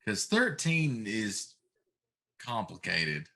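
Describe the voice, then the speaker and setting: A man's voice: on the low side, wildly swooping pitch, very slow, vocal fry, pushed and bright. A middle-aged man chatting casually and giving his opinions as a talk show host.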